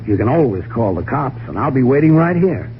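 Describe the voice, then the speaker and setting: A man's voice: medium pitch, sing-song, brisk, lightly acted. An actor playing a shrewd, practical male confidant in an old-time radio mystery, reasoning coolly in colloquial, persuasive talk. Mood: coaxing. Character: shrewd, steady detective-like confidant, coaxing and practical. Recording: muffled, noisy vintage radio recording.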